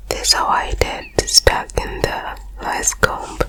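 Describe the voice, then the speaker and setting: A woman whispers her way through an ASMR session with no voice behind the breath. A cold leaves her stuffy and congested, yet the whisper stays crisp and bright. She takes it slow and unhurried. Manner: soft unvoiced whisper throughout. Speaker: ASMR host. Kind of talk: ASMR personal attention.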